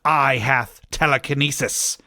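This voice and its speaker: A man voicing a cruel, pompous dark wizard villain in a story, drawing out archaic commands. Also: mid-range voice, fairly even intonation, no room sound, clean studio sound. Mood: cruel, commanding. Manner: slow archaic commands, drawn out menacingly.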